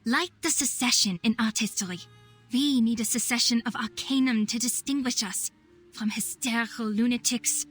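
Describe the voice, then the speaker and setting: A woman's voice: fairly high pitch, normal speed, fairly bright tone, husky, faint music behind. A woman voicing an idealistic young female doctor and revolutionary in a game, speaking earnestly and eloquently. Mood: fervent. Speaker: game voice actress. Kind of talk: dramatic game voice acting, monologue.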